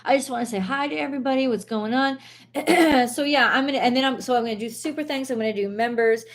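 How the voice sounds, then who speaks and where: A hoarse woman hosting a live tarot stream, talking casually and bluntly, swearing and losing her train of thought as she vents. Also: high-pitched, quick pace.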